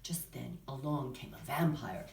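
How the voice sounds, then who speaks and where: A woman's voice: very deep voice, animated, measured pace, vocal fry, a little mellow. A woman drama teacher presenting and narrating for children's theater, in instructional talk and storytelling. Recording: echoey room, distant microphone.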